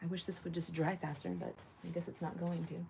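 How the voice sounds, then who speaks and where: A woman's voice: lowish voice, level tone, quick pace, vocal fry, very noisy. A woman hosting a casual craft livestream, chatting and thinking aloud in a rambling way, with a slightly nasal voice. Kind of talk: casual live chat while crafting.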